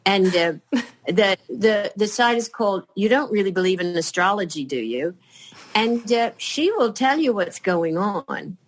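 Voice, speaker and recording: An older woman chatting as a podcast guest, rambling and halting, with many fillers and restarts. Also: medium pitch, expressive, rough recording. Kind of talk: casual chat.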